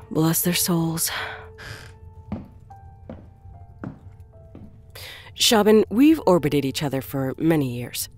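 A woman acting in an audio drama as a stern, devout church official, speaking in a solemn, deliberate, imperious manner. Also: sits low, lively intonation, a little mellow. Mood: measured, condescending. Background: faint music.

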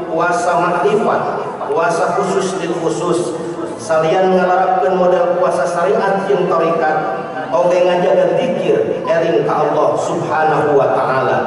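High-voiced Sundanese preacher in echoey hall. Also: male voice. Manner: measured, rhythmic oratory with Arabic phrases. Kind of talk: Eid sermon in formal Sundanese. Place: large mosque hall full of worshippers. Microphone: stand mic at a lectern, filmed from far. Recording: echoey mosque hall from far off. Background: crowd of worshippers.

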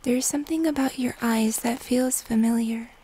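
A young woman playing a gentle, wistful moth-human hybrid of the woods in a slow, intimate fantasy ASMR roleplay. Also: fairly high pitch, fairly even intonation, slightly rough. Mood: awed, curious. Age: young adult. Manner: slow, soft, entranced, intimate.

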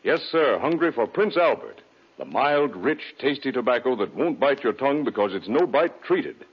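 A man's voice: medium pitch, sing-song, normal speed, breathy edge. A man reading a folksy 1940s radio advertisement as an announcer. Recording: dull 1940s radio recording.

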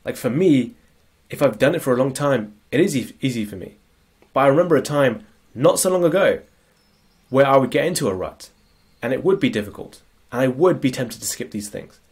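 A man's voice: mid-range voice, breathy edge. A man with a cold, giving a casual, conversational productivity lecture while sharing slides, often checking in with "right?". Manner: conversational, frequent tag questions. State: sniffly with a cold. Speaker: productivity coach. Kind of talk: screen-share lecture.

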